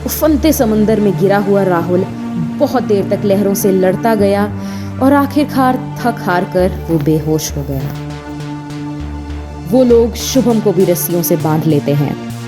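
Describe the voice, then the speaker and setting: A woman's voice: mid-range voice, animated, measured pace, music in the background. A woman narrating a scripted audio story, expressively addressing her listeners as a storyteller.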